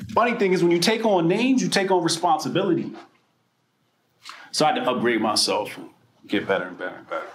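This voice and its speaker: A man from New Jersey giving a personal storytelling speech at a political mixer, animated and profane, swinging between loud bursts and quiet asides. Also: a little high, fast, a little hiss or hum. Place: event room. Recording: echoey room with faint ambience.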